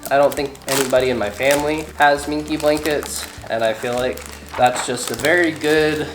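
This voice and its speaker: A young man co-hosting a casual chat, soft-spoken and quiet, sounding stuffy from a cold. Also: a little high, fairly even intonation, normal speed. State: stuffy, getting over a cold. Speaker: co-host. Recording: echoey room with background noise.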